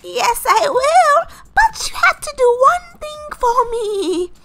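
A woman voicing a sweet, sly old fairy godmother in a roleplay story, with sugary, drawn-out, theatrical delivery. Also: extremely high pitch, sing-song, a bit bright, voice pushed up, exaggerated voice. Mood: sweet, coaxing.

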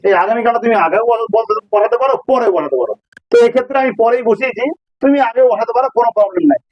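A man's voice: very high-pitched, very fast, dry recording, highs cut off. A man with a Bengali accent teaching a grammar lesson informally, explaining step by step and repeating phrases for emphasis.

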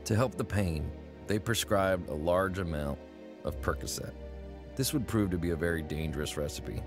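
An actor playing a troubled young male airman, narrating his story gravely in first person in a radio drama. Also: low voice, normal speed, music in the background, natural voice. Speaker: narrator and main character.

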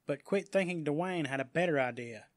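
A man's voice: on the high side, moderate pace, dry recording, studio quality. A man narrating a scripted story dramatically as an audiobook narrator.

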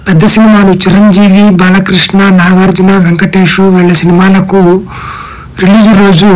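Middle-aged man, very even-toned narration. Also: high-pitched, low-quality recording. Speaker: vlogger. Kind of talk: informal vlog narration.

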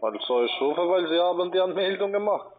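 A man with a foreign accent working as a call-centre agent, speaking in halting, choppy phrases. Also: high-pitched, animated. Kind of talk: halting sales phone call. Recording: tinny narrow-band phone line.

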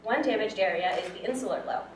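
A woman's voice: medium pitch, fast, distant mic. A young adult woman giving a prepared student presentation in a lecture room. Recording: echoey room from afar, faint noise.

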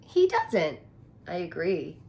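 A woman in her thirties reads a picture book aloud to young children and comments on it in simple, expressive, wonder-filled phrases. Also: on the low side, takes it slowly, vocal fry. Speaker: teacher reading aloud to children. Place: small indoor room, curtained corner.

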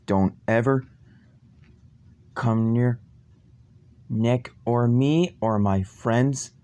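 Slow, determined hero, acted by a man. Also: medium pitch, mellow, clear, resonant tone. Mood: stern, defiant. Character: determined young male hero vowing to fight evil. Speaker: amateur voice actor. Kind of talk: hobby voice lines for a fan zombie action story.

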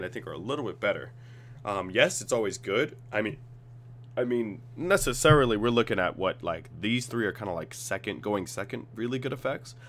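A man with a cold and a slightly raspy voice, casually reading card text aloud and reacting as he reviews cards at his computer. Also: fairly low pitch, fairly bright tone, slight background noise. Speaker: card game tutorial host.

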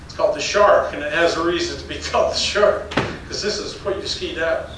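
Older man, folksy lecture voice, slightly high, very echoey hall, heard from a distance, faint hiss. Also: a little fast. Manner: folksy, anecdotal, chuckles between stories. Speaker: geology professor giving a public lecture. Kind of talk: storytelling slide talk. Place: darkened lecture hall with audience.

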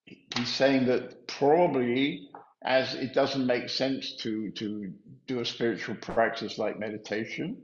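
An older man, a spiritual teacher, explaining in a measured, gently halting way on a video call. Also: mid-range voice, steady tone. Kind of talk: informal teaching and chat. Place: room at home, indoors. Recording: echoey room, dull lo-fi sound.

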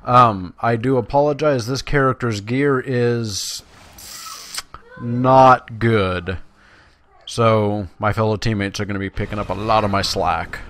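A man's voice: on the low side, steady tone, measured pace, a bit bright. A man giving casual, self-deprecating let's-play commentary, talking directly to his viewers. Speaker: gaming YouTuber, host. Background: video game sound.

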